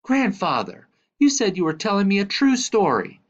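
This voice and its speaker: Young grandson for storybook, acted by a man: eager, questioning, sympathetic, raised pitch, mildly lo-fi audio. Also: moderate pace. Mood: urgent, indignant. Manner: excitable, questioning.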